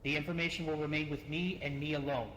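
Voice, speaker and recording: A man playing a dutiful, earnest guild adventurer in an online roleplay, speaking formally and deliberately. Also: a little high, fairly even intonation, a bit bright, close to an everyday voice. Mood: earnest, reassuring. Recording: echoey room, faint noise, voice chat.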